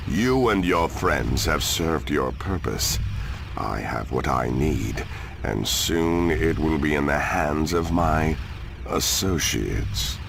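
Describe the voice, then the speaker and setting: An actor playing a smug, menacing, hulking alien crook in a video game, with a gravelly voice and condescending manner. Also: male voice, lively intonation, heavily acted. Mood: smug menace. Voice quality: very deep, gravelly. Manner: slow, threatening, condescending. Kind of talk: video game cutscene acting. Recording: clearly noisy background.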